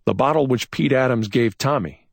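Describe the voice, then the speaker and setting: A man doing a brusque, excitable cop. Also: medium pitch, normal speed, gravelly, no echo, clean studio sound, voice pushed up. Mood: assertive. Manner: snapping, urgent, scolding. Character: brusque, excitable male police officer.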